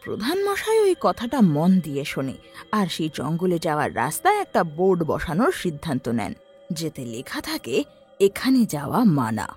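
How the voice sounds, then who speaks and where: A woman's voice: low voice, wildly swooping pitch, moderate pace, a little mellow, slightly rough. Woman narrator, wildly swinging dramatic delivery. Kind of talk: dramatic scripted horror story narration. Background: background music.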